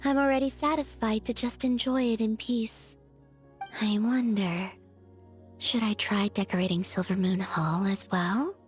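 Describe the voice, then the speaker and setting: An actress voicing a soft-spoken, aloof young woman in a game, with a breathy, whispery manner and slow, languid delivery. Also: medium pitch, fairly even intonation, faint music behind, natural voice. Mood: gentle. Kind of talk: video game character voice lines.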